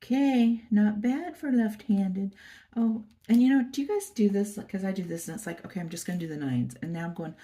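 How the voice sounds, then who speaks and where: A woman host chatting softly to viewers while doing a craft project, her nose stuffy, recorded on a phone at her workplace. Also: mid-range voice, fairly quick. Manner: soft, chatty, murmuring to viewers. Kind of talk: casual chat while crafting. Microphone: phone camera.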